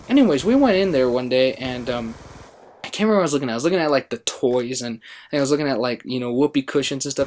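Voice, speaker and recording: An American man with a mid-pitched voice tells a story casually on his gaming channel. He rambles along, breaking off and picking his thread back up. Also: a little hiss or hum. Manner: rambling, self-interrupting. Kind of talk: casual storytelling over gameplay.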